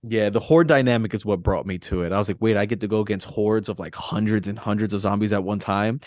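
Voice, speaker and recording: A man's voice: on the low side, quick pace, a little mellow, dry recording, clean studio sound. A man co-hosting a podcast, talking casually in an explanatory way and restating points for emphasis. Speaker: podcast co-host. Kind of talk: casual, conversational discussion.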